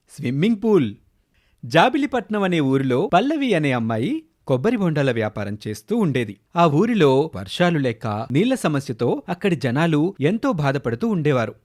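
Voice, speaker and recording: A male narrator tells a scripted children's story. His voice is on the high side and moves with animated, swinging intonation.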